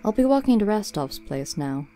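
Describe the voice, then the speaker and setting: A woman voicing a gruff, watchful male dog familiar in a storybook reading, terse and deliberate. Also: medium pitch, sing-song, dark tone, faint music behind, pitched above the performer's own voice, close to an everyday voice. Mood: watchful, matter-of-fact.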